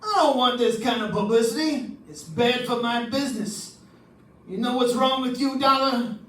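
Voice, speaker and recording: A woman's voice: a little high, normal speed, close to an everyday voice. A woman playing a defensive, menacing shady business owner in an old-time radio crime drama, with tough, clipped delivery. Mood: defensive, threatening. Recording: distant, echoey stage with background noise.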